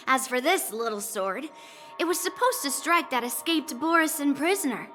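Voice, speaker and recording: A woman's voice: sits high, lively intonation, normal speed, sharp tone, soft background music. Cocky teasing swordswoman. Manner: teasing, laughs often, smug. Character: cocky young female swordswoman, teasing and bold. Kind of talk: game cutscene voice acting.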